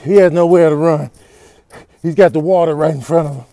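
A man's voice: a little high, steady tone, brisk, dark tone, no echo, clean studio sound, close to an everyday voice. A man voicing an exasperated veteran cop with a grumbling, folksy manner in a comedic voiceover. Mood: harried, pleading. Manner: grumbling, world-weary, folksy. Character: weary veteran male cop on a foot chase, exasperated. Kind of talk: comedic improvised video voiceover.

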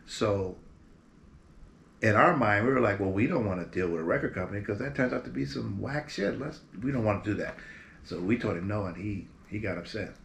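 A man in his fifties from Berkeley, California, a musician guest telling stories from his career in a relaxed, animated way, seated in front of a green screen. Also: fairly low pitch, fairly quick, creaky. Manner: relaxed, animated storyteller with lively quoted voices. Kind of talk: casual storytelling interview. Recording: roomy echo with faint background hiss.

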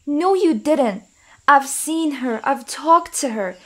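A woman's voice: high voice, animated, slow pace, a bit bright, exaggerated voice. A young woman acting in a comic dub as a protective, outspoken friend, emphatic and insistent. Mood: upset, pleading. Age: young adult.

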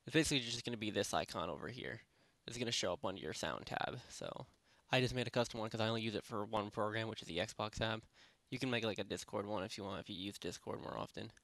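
A man narrating a software tutorial in a casual, unscripted, rambling way, speaking informally with lots of filler phrases. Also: fairly low pitch, quick pace, hardly any pauses, no room sound. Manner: rambling, informal, repeats "basically" and "you know". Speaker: tutorial presenter. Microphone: close headset microphone.

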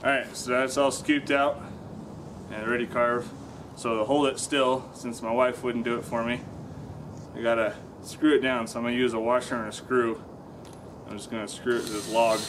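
A man's voice: medium pitch, little pitch movement, moderate pace, roomy sound, noisy background. Upbeat man hosting a how-to, mic far off. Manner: upbeat, jokey, stumbles over his lines. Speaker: host of a woodworking channel. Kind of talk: casual how-to presenting. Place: home kitchen and backyard. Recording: distant mic with music underneath.

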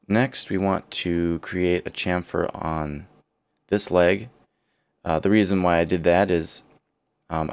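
Deep, gravelly male tutor with a worn-out voice, calm self-correcting software walkthrough. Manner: thinking aloud, self-correcting. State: partly lost voice, low and rough. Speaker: CAD tutorial instructor. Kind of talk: screen-recorded software walkthrough.

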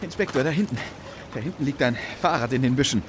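An actor playing an eager, dutiful police sergeant, calling out deferentially and excitedly in a German radio play. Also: male voice, mid-range voice, fast talker, noisy background, close to an everyday voice. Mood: eager, reporting.

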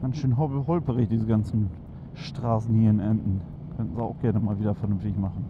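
A man's voice: on the low side, steady tone, fairly quick. A man chatting casually and enthusiastically into his helmet headset while riding a motorcycle through town, with lots of fillers. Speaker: motovlog host. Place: on a motorcycle in city traffic outdoors. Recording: muffled helmet mic with loud wind and traffic noise. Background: engine, wind and traffic.

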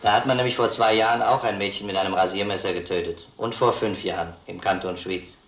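An actor playing a methodical police detective in a crime radio drama, questioning in a measured, insistent way. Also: male voice, on the low side, fairly even intonation, fairly bright tone, reverberant. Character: probing, methodical male police detective interrogating a suspect.

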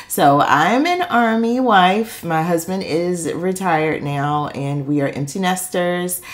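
A middle-aged woman giving a casual, chatty vlog voice-over, candid and friendly as she talks straight to listeners. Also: low-pitched. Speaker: vlogger.

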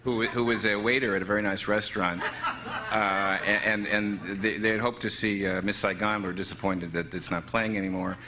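A middle-aged man telling a humorous anecdote on stage with halting, stammering delivery. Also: fairly low pitch, fairly even intonation. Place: indoor stage. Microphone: podium microphone. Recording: noisy live stage recording.

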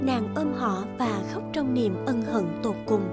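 A woman's voice: on the high side, measured pace, over loud music. A woman storyteller giving scripted narration of a fairy tale.

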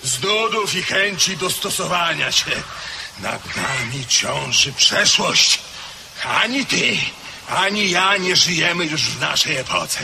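Bitter washed-up aristocrat officer, gravelly and slow, old radio play with a noisy hiss behind. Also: male voice, fairly high pitch, lively intonation, exaggerated voice. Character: bitter weary Russian aristocrat officer, nihilistic. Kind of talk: 1970s-80s radio drama acting. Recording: old radio play recording with background noise.

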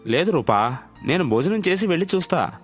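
A man's voice: on the high side, very animated, brisk. A man voicing a humble, earnest younger farmer son in a story, deferential in manner. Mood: concerned. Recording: music under the voice.

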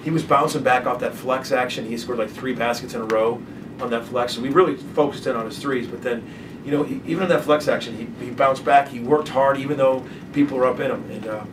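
A middle-aged man coaching basketball, answering postgame press questions in a halting way, repeating words and restarting often. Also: mid-range voice. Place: press conference room. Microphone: desk microphone from a distance. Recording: distant mic, clear background noise.

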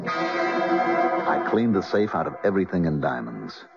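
An actor playing a sardonic betrayed husband with wry, hard-boiled delivery in a 1970s radio drama. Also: male voice, sits low, huge pitch swings, a little slow, gravelly, muffled recording, over loud music, heavily acted. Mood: wry, bitter. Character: bitter, sardonic betrayed husband turning the tables. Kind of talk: 1970s radio drama acting and first-person narration.

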